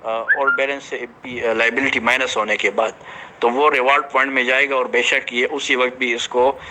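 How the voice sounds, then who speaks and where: A man gives an informal, explanatory announcement to his team as a company director, halting at times and mixing in English business terms. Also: mid-range voice, flat delivery, heavy background noise.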